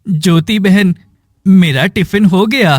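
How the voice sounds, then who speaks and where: Male actor as humble poor cartoon villager: high-pitched, unhurried, earnest, meek, music behind. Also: no room sound. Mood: meek, resigned.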